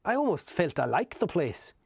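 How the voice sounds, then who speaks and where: A man's voice: a little high, sing-song, moderate pace, creaky, a little mellow, husky, no room sound, clean studio sound, pitched above the performer's own voice, exaggerated voice. A man reading a chatty young hobbit. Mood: wistful. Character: cheerful young male hobbit.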